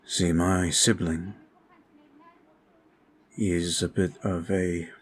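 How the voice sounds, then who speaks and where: A man playing a calm, courteous, protective vampire in an ASMR roleplay, soft-spoken and halting, with formal phrasing. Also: low voice, slight background noise. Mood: grave, confiding. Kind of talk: ASMR roleplay audio drama.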